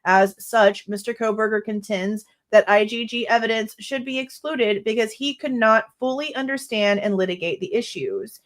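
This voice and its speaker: A woman's voice: fairly high pitch, normal speed, hardly any pauses. Stuffy-nosed woman reading legal text. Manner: exasperated, blunt asides with mild swearing. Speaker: true crime livestream host. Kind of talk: reading a legal brief aloud with commentary.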